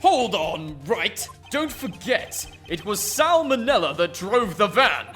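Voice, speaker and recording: Game fan dub: haughty male prosecutor, played by a man, high-pitched, tense, indignant, formal. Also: sing-song, takes it slowly, a bit bright, faint music behind, exaggerated voice. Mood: indignant challenge. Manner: indignant, formal, theatrical interjections. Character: haughty, sharp male courtroom prosecutor, easily flustered.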